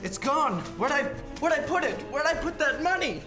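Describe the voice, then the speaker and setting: Man playing a high-pitched, flustered absent-minded old fellow. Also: sing-song, normal speed, music in the background. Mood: flustered, panicked. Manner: stammering, exclamatory. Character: flustered, absent-minded older man, jovial then panicked. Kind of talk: 1940s radio play acting.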